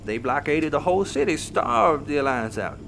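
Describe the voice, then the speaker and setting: An actor playing a folksy patriarch in an audio drama, telling his story in a dramatic, deliberate way. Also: on the high side, expressive, creaky, background noise. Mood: grave, haunted. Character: folksy Texan patriarch haunted by wartime hardship. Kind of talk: audio comedy drama acting, storytelling monologue. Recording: music underneath.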